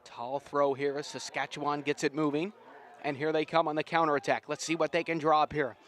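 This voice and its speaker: Male play-by-play caller, slightly high voice, folksy and enthusiastic, live rugby outdoors. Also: flat delivery, brisk, fairly bright tone. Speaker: play-by-play sports commentator. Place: outdoors at a sports field.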